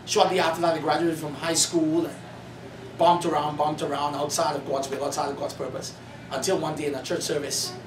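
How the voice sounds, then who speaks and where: A man in his thirties from the Bahamas, an author and pastor telling his life story in earnest, building to animated emphasis at a cafe event. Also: a little high, fairly quick. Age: 30s. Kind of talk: personal testimony at a bookstore event. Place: coffee cafe in a shopping mall. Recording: roomy, distant, noisy cafe recording.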